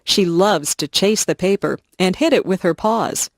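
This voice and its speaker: A woman reading short scripted passages for English learners in a slow, clear, evenly paced voice. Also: on the low side, no room sound, clean studio sound. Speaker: English lesson reader. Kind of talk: scripted narration of simple passages.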